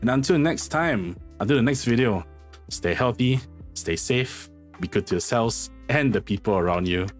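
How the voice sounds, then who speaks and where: A man hosting a gaming channel, talking through a game guide in a casual, rambling way with frequent self-corrections. His voice is a little hoarse because he is losing it. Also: mid-range voice, expressive, moderate pace, faint music behind.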